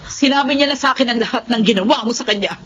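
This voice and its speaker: A woman's voice: fairly high pitch, rapid-fire, a bit bright, slight background noise, natural voice. An actress playing a protective, emotional middle-aged mother in a Filipino radio drama, fervent and melodramatic. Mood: furious. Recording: muffled lo-fi radio drama audio.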